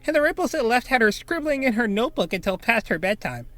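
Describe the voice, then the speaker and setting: A man's voice: very high-pitched, sing-song, quick pace, fairly bright tone, faint music behind, pitched above the performer's own voice, exaggerated voice. A man voicing an earnest young male talking cat who chats casually and hesitantly in an audio story. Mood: fond, amused.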